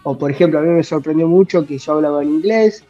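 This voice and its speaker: A man's voice: a little high, fast talker, mellow, highs cut off, faint music behind. A man from Buenos Aires province with a Rioplatense Spanish accent, being interviewed over a remote call and talking in an easygoing, rambling way. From Buenos Aires province, Argentina. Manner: easygoing, talks in loose rambling runs. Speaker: interview guest.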